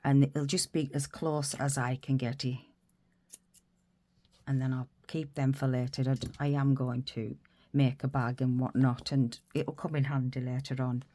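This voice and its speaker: Deep-voiced woman, stuffed up with a cold, rambling friendly tutorial host. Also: level tone, moderate pace, warm tone. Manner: chatty, rambling, self-interrupting. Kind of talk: casual crafting tutorial.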